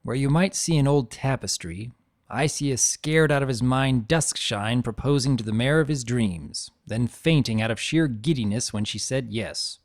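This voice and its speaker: An old dragon played by a man tells his story in a mid-pitched voice. He is gentle and nostalgic, speaking with reflective, sentimental care, as in a dramatic storybook reading. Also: expressive, normal speed, lightly acted. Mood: nostalgic pride. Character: aged dragon, gentle, nostalgic, fondly guarding keepsakes.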